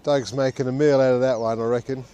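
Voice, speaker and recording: A man's voice: medium pitch, fairly even intonation, fast, mellow, smooth, highs cut off. A man chatting and joking with friends while fishing on a city riverbank, filmed on an action camera. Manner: dry, joking banter. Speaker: angler filming the trip. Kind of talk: casual fishing chat.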